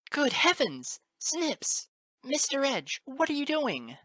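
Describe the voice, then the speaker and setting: A woman's voice: lowish voice, very slow, fairly bright tone, dry recording, clean studio sound, pitched above the performer's own voice, full character voice. A woman voicing a caring, emotional female pony schoolteacher in a storybook reading, earnest and tender. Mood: alarmed, shrieking. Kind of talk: dramatic audiobook character acting.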